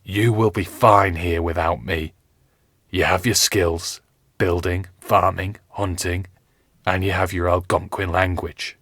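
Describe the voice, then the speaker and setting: A man voicing a gravely injured colonial captain who speaks in a strained, hoarse voice, giving slow, weighty pronouncements. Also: low-pitched, steady tone, a bit bright, no echo, studio quality, exaggerated voice.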